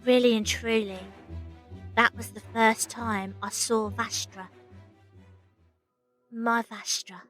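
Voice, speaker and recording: Woman playing higher-voiced young Victorian factory woman. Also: fairly even intonation, slow pace, long pauses. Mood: wistful. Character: sceptical young Victorian match-factory woman, wistful narrator of her past. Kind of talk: dramatic first-person narration and dialogue. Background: music.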